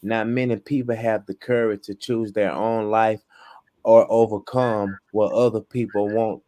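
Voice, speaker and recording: A man slowly reading a viewer's comment aloud on a podcast. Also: lowish voice, level tone, warm tone. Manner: slow, deliberate reading.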